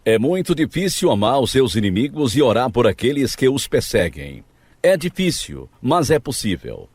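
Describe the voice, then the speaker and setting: A man's voice: medium pitch, sing-song, moderate pace, darkish tone, breathy edge, no echo. A man presenting a radio drama program as its announcer, reading scripted narration in a dramatic style. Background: music, plucked guitar.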